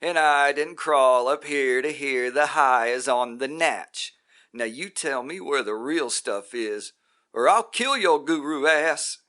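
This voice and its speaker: A frantic burned-out junkie, as a man puts him on in a poem reading, his voice jumped way up high and loud. He pleads and rants hard, with a bright, forceful edge. He drawls more than he rushes. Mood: furious. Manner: pleading, then raging and shouting. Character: desperate burned-out male junkie climber. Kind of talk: poetry reading.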